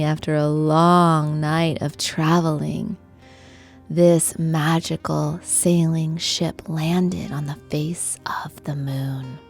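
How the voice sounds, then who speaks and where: Slow, breathy woman telling a bedtime story. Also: deep voice. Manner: gentle, soothing, hushed and breathy delivery. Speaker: children's guided meditation storyteller. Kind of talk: bedtime story narration, guided relaxation.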